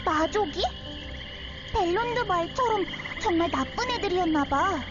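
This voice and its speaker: A woman voicing an innocent, energetic, childlike little girl in a Korean game story, full of exclamations. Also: very high-pitched, measured pace. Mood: curious. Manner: childlike, exclamatory, speaks of herself by name. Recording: dull sound under loud music.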